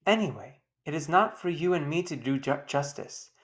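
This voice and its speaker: A woman's voice: sits low, animated, moderate pace, voice pushed up. A woman voicing a firm, wry mother who scolds and reasons with children in a storybook. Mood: forgiving, firm. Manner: pointed questions, firm instructions.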